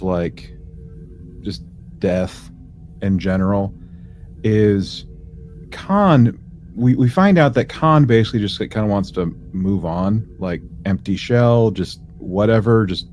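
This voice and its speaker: A man co-hosting a podcast, giving a casual recap in a halting way, piecing his phrases together. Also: on the low side, a little mellow. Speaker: podcast co-host.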